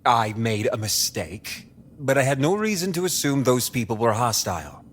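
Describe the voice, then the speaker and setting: An actor playing a tense, grudging human survey member in an audio drama, talking in a halting, strained way. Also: male voice, medium pitch, very animated, a little hiss or hum, close to an everyday voice. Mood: reluctant, defensive.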